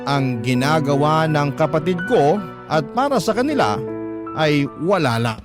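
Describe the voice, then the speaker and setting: Guy narrating a dramatic radio story, kinda high voice, music clearly underneath. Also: lively intonation, a little slow. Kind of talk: dramatic scripted first-person narration. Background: background music.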